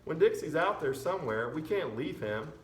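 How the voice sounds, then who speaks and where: A man's voice: fairly high pitch, animated, normal speed, pitched above the performer's own voice, natural voice. A man voicing an upset, stubborn, pleading young girl in a storybook reading. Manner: emotional, insistent pleading. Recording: roomy echo with background noise.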